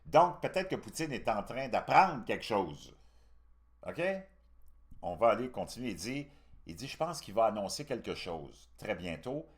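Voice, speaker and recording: A man's voice: lowish voice, very animated, normal speed. A man from Canada with a Québécois accent gives casual, opinionated political commentary as a host, speaking colloquially and emphatically. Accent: Québécois French.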